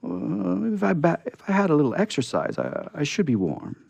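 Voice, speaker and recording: A man voicing a timid, kindly scarecrow in a storybook reading, halting and tearful. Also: a little high, wildly swooping pitch, gravelly, a little mellow, husky, no echo, pitched above the performer's own voice, heavily acted. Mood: hesitant, uneasy. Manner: halting, sobbing delivery. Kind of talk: storybook character voice in read-aloud.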